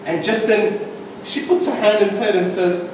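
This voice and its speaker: A man telling a comic tall tale as a speech to a live audience, with theatrical pauses and an emphatic build-up. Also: high voice, darkish tone. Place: indoor meeting room, recorded from the audience. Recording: distant microphone, echoey room, background noise.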